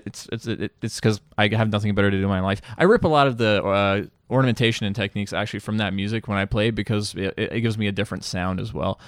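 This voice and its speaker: A man's voice: lowish voice, creaky, no room sound, clean studio sound. A man from Bosnia chatting casually as a podcast guest, explaining things in a jokey, digressive way with some crude slang. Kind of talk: casual chat, explaining at length.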